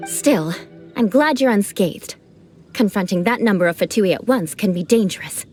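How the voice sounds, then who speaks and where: A woman's voice: medium pitch, animated, moderate pace, a little mellow, faint music behind, natural voice. A woman voicing a proud young aristocratic female knight in a game, speaking formally with dry irony. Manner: formal, haughty, dryly ironic. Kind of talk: game voice acting.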